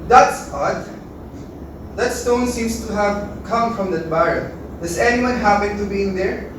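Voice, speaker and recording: A man's voice: high-pitched, measured pace. A man playing a courteous, gallant knight in a readers theater fairy tale. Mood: curious. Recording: very echoey room, distant mic, clear noise.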